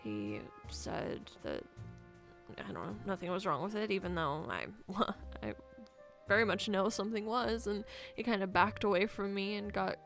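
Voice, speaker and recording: A woman acting as a troubled young camp girl in a game roleplay, confiding in a hesitant, halting way. Her voice sits at a middle pitch and often trails off. Soft music plays behind her. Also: animated, vocal fry. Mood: hesitant, uneasy. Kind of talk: improvised Minecraft roleplay dialogue.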